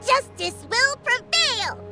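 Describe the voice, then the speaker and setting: A tiny, playful fairy sidekick from a video game. The voice is extremely high and slow, exclaiming boldly in big swoops, with loud music underneath. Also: female voice, animated, exaggerated voice. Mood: excited cheering. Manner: cheering, exclamatory. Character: excitable small childlike fairy companion, playful and bold.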